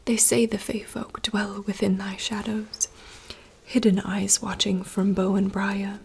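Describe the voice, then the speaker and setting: A woman voicing a wistful young princess in a soft, slow, poetic whisper. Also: medium pitch, level tone, creaky, husky, heavily acted. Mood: yearning. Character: wistful young princess longing for wild woods. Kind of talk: whispered monologue reading.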